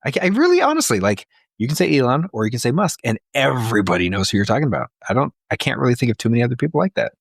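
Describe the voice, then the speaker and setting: A man's voice: medium pitch, very animated, rapid-fire, gravelly, few pauses, slightly rough, no echo, studio quality. Blunt, sweary man on a podcast. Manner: sweary, blunt, emphatic ranting. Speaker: podcast co-host. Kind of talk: casual opinionated banter.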